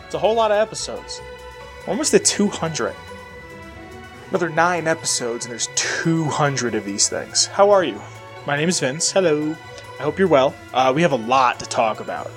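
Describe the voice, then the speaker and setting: A man with a slightly high voice hosts a casual solo podcast, talking in loose, rambling stretches. He sounds a bit stuffy from a sinus infection, and faint music plays underneath. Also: expressive, normal speed. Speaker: podcast host.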